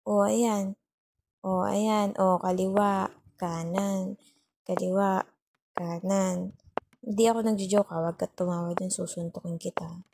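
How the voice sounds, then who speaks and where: A woman's voice: mid-range voice, flat delivery, unhurried, creaky, long pauses, noisy background. A woman with a Filipino accent playing a bossy but caring, worried young girlfriend who scolds and sulks in an intimate ASMR roleplay. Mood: fussing, worried. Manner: scolding, sulky, teasing, repeats herself for emphasis.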